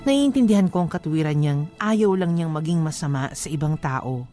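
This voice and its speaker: A lovelorn young woman played by an actress confides in a scripted drama narration. Her voice is low and plaintive, set over clearly audible music. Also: moderate pace, warm tone, close to an everyday voice. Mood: resigned, plaintive.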